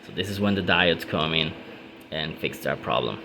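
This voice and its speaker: A young man from Puerto Rico with a Puerto Rican Spanish accent, walking through a car headlight wiring fix in a casual tutorial, pausing often with ums. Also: rumbling low, expressive, vocal fry, noisy background. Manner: casual, halting, many ums and restarts. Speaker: car modding hobbyist. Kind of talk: hands-on how-to tutorial.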